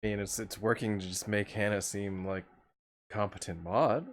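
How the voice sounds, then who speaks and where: A man's voice: fairly low pitch, fairly even intonation, moderate pace. A man talking casually in a voice chat, blunt and given to swearing.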